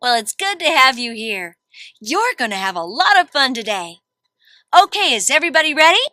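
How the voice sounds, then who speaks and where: A woman studio host speaks in a high, lively voice that swings widely. She sounds like a chirpy presenter guiding children through a scripted session, with a close, dry sound. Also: normal speed, no room sound. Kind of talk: scripted, upbeat instructions to children.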